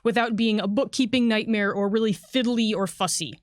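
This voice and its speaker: A woman's voice: medium pitch, moderate pace, no room sound, clean studio sound. A woman speaking conversationally as a game designer and editor, chattily explaining a product and correcting herself as she goes. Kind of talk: conversational product walkthrough for a livestream.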